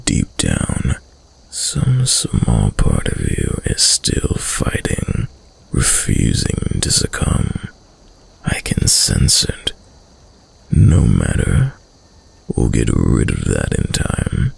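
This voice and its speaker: A man playing a dominant, seductive villain prince who uses dark magic, slow and silky in an intimate audio roleplay, often dropping to a whisper. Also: huge pitch swings, full character voice. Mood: sly, menacing. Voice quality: very deep, gravelly, breathy, often whispering. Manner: slow, commanding, silky, lingering pauses.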